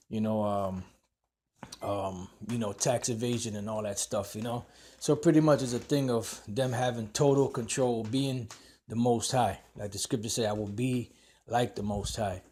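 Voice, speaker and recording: A man's voice: fairly low pitch, dark tone. A man hosting a religious commentary, talking loosely with many fillers and a scornful edge. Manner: loose and meandering, heavy with "you know" and "uh"; scornful toward cash-free shoppers. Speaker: host of a religious commentary channel. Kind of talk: rambling religious commentary on a news article.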